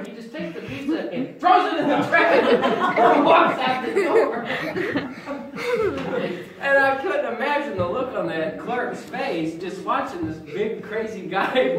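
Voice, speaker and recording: A middle-aged man doing folksy stand-up storytelling, getting loud and emphatic at the punchlines. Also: extremely high pitch. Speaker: stand-up comedian. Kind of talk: stand-up comedy at a congregation event. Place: small fellowship hall with audience. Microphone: far camera, no mic. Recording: echoey hall recorded from far off with audience laughter.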